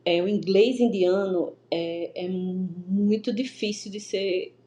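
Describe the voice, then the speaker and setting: Animated Brazilian woman, mellow voice. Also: mid-range voice, slow pace. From Northeast Brazil. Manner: animated, many restarts and stammers. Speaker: guest. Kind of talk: casual interview storytelling. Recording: echoey room with faint ambience.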